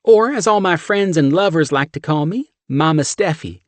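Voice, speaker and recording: A man's voice: fairly high pitch, animated, moderate pace, no echo, voice pushed up, natural voice. A man voicing a brash, flirtatious woman. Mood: brash flirty. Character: brash flirty Southern woman, loud and bold.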